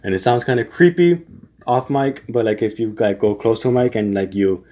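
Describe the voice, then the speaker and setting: A man's voice: medium pitch, normal speed, mellow, highs cut off, slight background noise. A young man giving casual singing tips, rambling with many restarts and fillers. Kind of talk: casual spoken tutorial.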